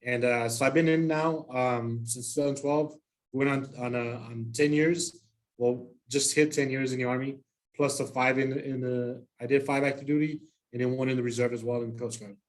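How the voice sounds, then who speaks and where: Easygoing Army sergeant from El Paso. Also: male voice, mid-range voice, fairly even intonation, moderate pace. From El Paso, Texas. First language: Spanish. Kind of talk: presentation to students.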